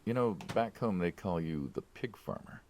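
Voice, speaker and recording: A man's voice: low voice, lively intonation, moderate pace, darkish tone, slight background noise. An actor playing a wary but uneasily friendly mob hitman in a video game, speaking in a hesitant, skeptical, conversational way. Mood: curious, skeptical.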